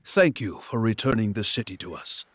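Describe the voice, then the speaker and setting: A man playing a sober freed captive in a game cutscene, serious and measured. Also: lowish voice, animated, noisy background, natural voice. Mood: grave. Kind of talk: video game cutscene acting.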